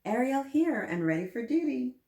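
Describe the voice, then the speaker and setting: A woman's voice: high-pitched, sing-song, moderate pace, gravelly, warm tone, pitched above the performer's own voice, full character voice. A woman voicing perky, eager talking inhaler gadgets in a children's storybook, with a cheery manner. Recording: roomy, echoing room sound.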